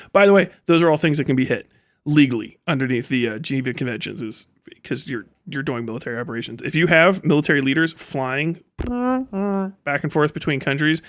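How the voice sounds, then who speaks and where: A man's voice: medium pitch, a bit bright, dry recording, studio quality. A man doing casual livestream commentary, talking in an emphatic, profane, ranting way. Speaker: livestream host.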